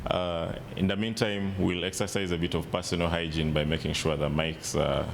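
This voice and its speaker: A man emceeing an event, his voice very low and gravelly, with a halting delivery full of restarts. He speaks into a microphone in a big hall. A long reverb and a heavy rumble sit behind him. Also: level tone. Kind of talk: event announcements.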